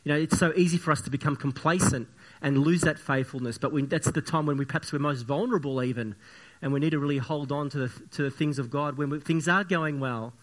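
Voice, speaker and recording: A man with a croaky, sniffly voice from the flu, preaching a church sermon in an earnest, halting way with many restarts. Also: a little high. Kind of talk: church sermon with opening prayer.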